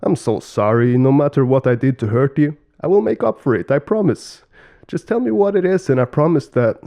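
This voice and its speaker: An emphatic father god of creation from a storybook, acted by a man, loving but easily exasperated. His mellow voice moves freely in pitch and creaks. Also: big pitch swings, moderate pace. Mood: apologetic, loving.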